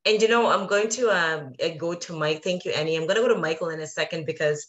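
A woman's voice: lowish voice, quick pace, muffled recording. A woman moderating a webinar panel, affirming speakers and steering the talk with questions. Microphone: video call.

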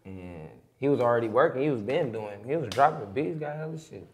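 A young man from Hunters Point, a rapper guest talking low-key and slangy in a studio interview. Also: expressive, brisk, slight background noise. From Hunters Point, San Francisco. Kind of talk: casual interview chat. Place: studio set.

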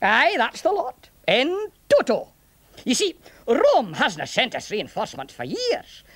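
A man's voice: very high-pitched, huge pitch swings, measured pace, fairly bright tone, no echo, pitched above the performer's own voice, heavily acted. A man voicing a small, proud, chuckling Roman centurion with a Scottish accent in a children's puppet comedy. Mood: chatty, confiding. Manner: chatty, chuckling, repeats himself.

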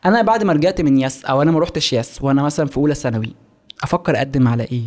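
A man in his twenties speaks with an Egyptian accent in casual podcast style. His voice sits a little high and races along as he explains things step by step. He is close on a studio mic. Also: rapid-fire, a little mellow. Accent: Egyptian Arabic. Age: 20s. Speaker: podcast guest. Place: small home-style studio office, indoors. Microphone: close studio microphone on boom arm.

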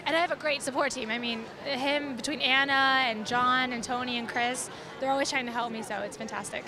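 A woman's voice: sits high, steady tone, a little fast. A young adult woman, a cantor, answering in a bubbly way into an interviewer's handheld mic on a crowded arena floor. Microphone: handheld mic held by interviewer. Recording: crowd chatter behind.

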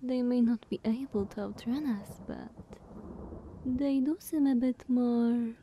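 A woman voicing a playful, eager younger vampire sister in a teasing, breathy ASMR roleplay. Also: fairly high pitch, steady tone, dull audio, a little hiss or hum. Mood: teasing amusement. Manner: slow, teasing, breathy half-whispers.

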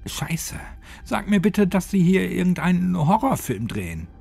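A man's voice: sits high, very animated, a little slow, creaky, mellow, breathy edge, soft background music, voice pushed up, full character voice. A man voicing a blunt, slangy young village man in an audio horror story. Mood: panicked. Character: skeptical young village man, dismissive, turning panicked.

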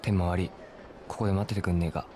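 An actor playing a tough, blunt young street fighter in a dramatic video game cutscene. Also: male voice, sits low, level tone, fast, dark tone, a little hiss or hum, close to an everyday voice. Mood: brooding, probing. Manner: rough, blunt, slangy. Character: tough, brusque young male street fighter, hot-headed but caring. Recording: music behind the voice.

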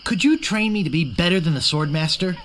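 A man's voice: on the high side, lively intonation, fairly quick, fairly bright tone, slight background noise. A man voicing a naive, earnest young would-be pirate in a comic adventure game, boyish, polite and a bit wimpy. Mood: eager.

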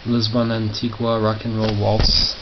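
A middle-aged man giving casual, halting commentary as he reads out titles and mutters asides. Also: completely flat delivery. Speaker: record collector showing his collection. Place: small tiled indoor room by storage crates. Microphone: handheld camera held by the speaker. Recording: muffled, noisy low-grade camera audio with clicks.

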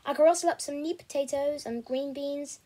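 A woman's voice: high-pitched, fairly quick, warm tone, natural voice. A woman voicing a hot-tempered mother who talks in a falsely polite, mocking way. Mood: scolding. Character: sweetly menacing mother with a wild temper.

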